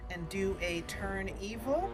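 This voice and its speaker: A woman hosting a let's play, chatting casually about her gameplay and reacting excitedly to the action. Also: medium pitch, slow, over loud music. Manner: chatty, excitable reactions, talks to party members.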